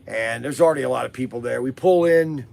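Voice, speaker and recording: A man hosting a casual live podcast, hoarse from losing his voice, rambling through a story with many fillers and restarts. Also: mid-range voice, fast talker, darkish tone, soft background music. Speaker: host of a toy business live podcast.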